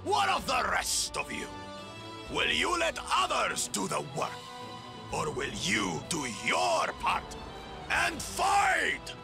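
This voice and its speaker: A man's voice: very high voice, huge pitch swings, takes it slowly, full character voice. A man playing a hearty, loyal warrior in a video game cutscene. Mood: rousing. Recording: music clearly behind the voice.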